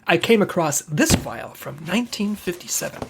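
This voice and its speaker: A woman's voice: fairly low pitch, very animated, fairly quick, gravelly, background noise. A woman playing an eager, theatrical secret agent who narrates like an old-time radio detective. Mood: earnest, dramatic. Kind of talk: 1940s radio detective acting.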